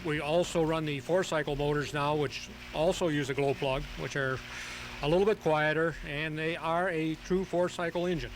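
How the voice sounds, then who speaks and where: Male hobbyist interviewee, methodical and halting, lightish pitch, faint noise on an outdoor radio tape. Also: steady tone. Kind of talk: explanatory live radio interview. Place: outdoors at a flying field. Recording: noisy home tape of outdoor radio broadcast.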